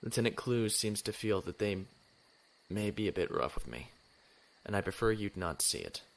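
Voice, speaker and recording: A man's voice: on the low side, very animated, moderate pace, vocal fry. An actor playing a decorated naval lieutenant in his twenties in a science fiction audio drama, formal, restrained and proud. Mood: firm, reserved. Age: 20s. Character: young decorated space navy lieutenant, formal, dutiful, stubbornly proud.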